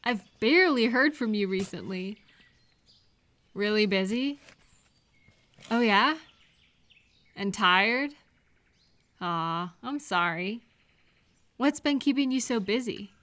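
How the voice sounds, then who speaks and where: Unhurried, caring woman friend played by an actress. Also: mid-range voice, expressive. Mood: soft sympathy. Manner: gentle, unhurried, attentive questioning with pauses for replies. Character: caring, cheerful woman friend helping out in a garden. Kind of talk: scripted one-sided roleplay audio, addressed to the listener.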